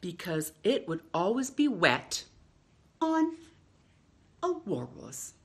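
A middle-aged woman hosting storytime, reading to children in an expressive, drawn-out way with playful emphasis. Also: on the low side. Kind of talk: reading a picture book aloud to children. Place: indoors in a home room.

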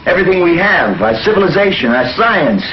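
An actor playing an earnest, commanding space hero with an authoritative manner in a 1950s television serial. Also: male voice, high voice, sing-song, brisk, a little hiss or hum. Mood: earnest, urgent. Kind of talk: 1950s television science fiction acting. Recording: echoey, muffled old TV soundtrack, faint music.

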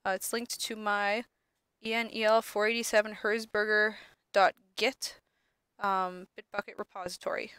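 Sniffly young woman teaching software. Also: medium pitch, level tone, normal speed, clean tone, dry recording. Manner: casual, many ums and self-corrections. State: sniffly from allergies. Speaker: lab instructor. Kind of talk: screen-recorded software tutorial.

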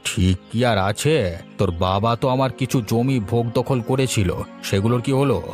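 A man's voice: medium pitch, moderate pace, a little mellow, faint music behind, close to an everyday voice. A man voicing a spiteful, greedy village schemer in a cartoon, with a conniving, accusatory manner. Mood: menacing, accusing. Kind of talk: cartoon dialogue acting.

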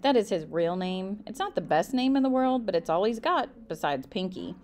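Animated woman reading a children's story. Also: mid-range voice, moderate pace, a little hiss or hum. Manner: animated, emphatic delivery. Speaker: reader of a children's book. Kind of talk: expressive read-aloud storytelling.

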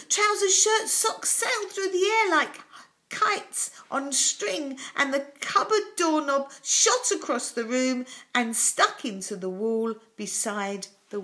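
Older woman, children's story reader, highish voice, very lively intonation, dramatic pauses. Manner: animated, dramatic, with deliberate pauses. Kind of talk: expressive children's storybook reading. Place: small room at home, indoors.

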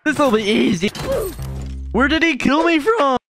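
A woman's voice: sits high, expressive, measured pace, fairly bright tone, faint music behind, exaggerated voice. A woman voicing a boastful, toxic boy gamer in a cartoon fan voice-over, talking in a taunting, sneering way. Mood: sulky.

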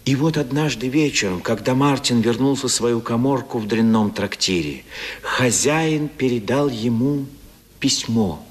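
A man playing the narrator of a classic novel in a radio play, deliberate and literary with expressive pauses. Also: mid-range voice, natural voice. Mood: measured, wry. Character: measured male storyteller narrating a classic novel. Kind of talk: scripted dramatic narration. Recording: 1977 radio play recording.

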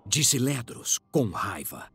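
A man's voice: mid-range voice, very animated, takes it slowly, creaky, heavy background noise. A man with a Brazilian accent giving dramatic scripted narration for a fantasy audio drama.

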